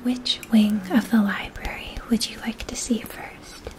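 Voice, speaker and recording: A woman speaks in a soft whisper, guiding the listener through an ASMR fantasy story. Her delivery is very even, with little rise or fall, and she takes her time over each phrase. She articulates carefully and sounds gentle and soothing. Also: a little high, measured pace, faint music behind. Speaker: ASMR roleplay performer.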